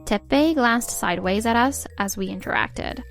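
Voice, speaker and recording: A high female voice acted by a woman plays a worn-out young mom in a manga dub. She is timid and quietly frustrated as she narrates her domestic troubles. Light music plays underneath. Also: normal speed, creaky. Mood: reflective narrating. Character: weary young mother and nurse, timid, quietly frustrated wife.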